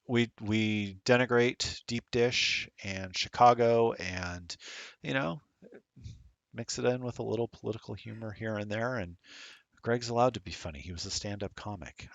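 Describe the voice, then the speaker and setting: A man's voice: on the low side, vocal fry, no room sound, lo-fi sound. A middle-aged man rambling casually while commentating a streamed tabletop game, self-deprecating and chatty.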